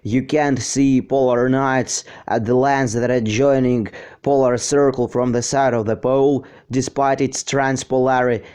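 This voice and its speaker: A man speaking English as a second language, explaining a science topic slowly with frequent pauses and fillers. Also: medium pitch, a little mellow, clean tone, no echo, clean studio sound. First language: not English. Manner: slow, hesitant, with frequent fillers. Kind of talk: unscripted educational explanation.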